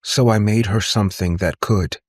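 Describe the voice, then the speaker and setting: A man's voice: fairly low pitch, steady tone, normal speed, no echo, clean studio sound, close to an everyday voice. A man voicing a driven, emotional woman inventor in an audiobook, arguing with passion and defiance. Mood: pleading. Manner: impassioned, argumentative.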